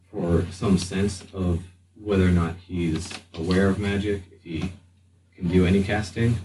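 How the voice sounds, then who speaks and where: Slow, low-pitched man narrating in a roomy space. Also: mellow. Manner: halting, with long pauses mid-sentence. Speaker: game master. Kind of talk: improvised narration of a tabletop roleplaying game. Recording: roomy, distant mic with faint noise.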